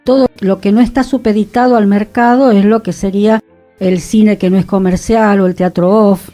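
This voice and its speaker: A woman's voice: mid-range voice, fairly even intonation, normal speed, warm tone, faint music behind. A woman co-hosting a cultural radio talk show, speaking in a thoughtful, conversational way. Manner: thoughtful, conversational, with occasional laughs. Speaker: radio co-host. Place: radio studio.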